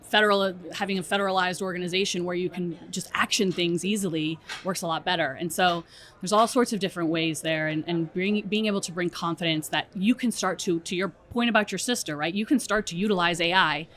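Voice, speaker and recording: Low-pitched woman explaining in an interview. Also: fairly quick, fairly bright tone. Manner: explanatory, often checks with "right?". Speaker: interview guest, strategy director at a software company. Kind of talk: conversational expert interview.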